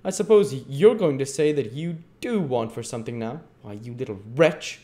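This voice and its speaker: A man's voice: animated, moderate pace, dark tone, close to an everyday voice. A man voicing a harsh storybook matron of a poorhouse, who scolds sharply and fawns on her betters. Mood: scolding. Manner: shrill scolding, obsequious to superiors. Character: harsh, scolding, fawning woman running a poorhouse.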